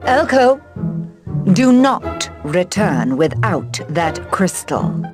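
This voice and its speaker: A woman's voice: fairly low pitch, very animated, drawn-out words, music in the background, full character voice. An actress playing a cold, scheming queen in a video game, giving her words a formal, commanding manner. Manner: imperious, formal. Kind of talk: video game cutscene acting.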